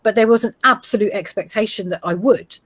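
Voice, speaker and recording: A woman in her forties, a geography teacher with a Gujarati heritage, explaining ideas to other teachers in a steady way with small fillers. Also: mid-range voice, brisk, dry recording. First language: Gujarati heritage, English now first. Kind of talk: presentation to teachers.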